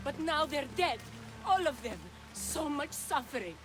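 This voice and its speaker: An anguished bereaved woman accuses in a high, plaintive voice. She is reproachful and grief-stricken, in video game style, with music clearly behind her. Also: expressive, measured pace. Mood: anguished accusation.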